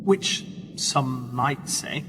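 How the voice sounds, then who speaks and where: An actor in a radio play voicing a skeptical journalist, with a husky voice and a dry, probing, challenging manner. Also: male voice, mid-range voice, extremely slow, sharp tone, slight background noise. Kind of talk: radio drama dialogue. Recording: long reverberant hall, music underneath. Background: music.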